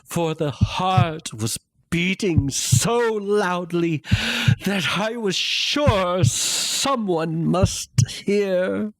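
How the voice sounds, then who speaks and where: A man playing an obsessive, unhinged murderer in a dramatic gothic horror reading, halting and theatrical with suspenseful pauses. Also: sits high, no room sound, heavily acted, rough recording. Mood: frenzied anger, fear. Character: obsessive, unhinged murderer confessing his crime.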